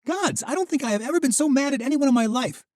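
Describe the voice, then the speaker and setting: Audiobook: male reader as a raw, fiery young woman, high and mellow-edged, lively pitch. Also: no echo, studio quality. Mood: furious. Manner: sharp, pleading, quick to anger. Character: fierce grieving young woman warrior. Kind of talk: audiobook character voice.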